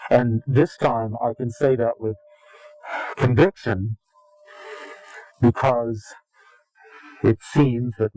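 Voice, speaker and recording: A man giving interview testimony in a grave, deliberate, halting and portentous way. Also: mid-range voice, fairly even intonation, mellow, no room sound, poor audio. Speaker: interviewee, claimed insider. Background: music.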